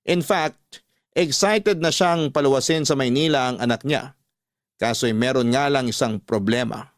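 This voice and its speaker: A man with a medium-pitched voice works as a radio storyteller. He reads a scripted first-person narrative. The sound is dry, as in a studio. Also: unhurried, no echo.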